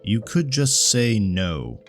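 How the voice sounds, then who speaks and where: A man's voice: on the low side, big pitch swings, pitched above the performer's own voice. Guy doing a nerdy occult professor type, precise and explainy, bit exasperated, audio drama story, faint music behind. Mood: patient lecturing. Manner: measured, pedantic, explanatory. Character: bookish, earnest male occult scholar, bespectacled, exasperated.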